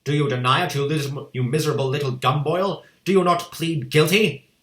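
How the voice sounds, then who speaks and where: Booming cruel headmistress, put on by a male reader, shouting insults, roomy echo. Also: big pitch swings, moderate pace, lightly acted. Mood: raging. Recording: echoey room.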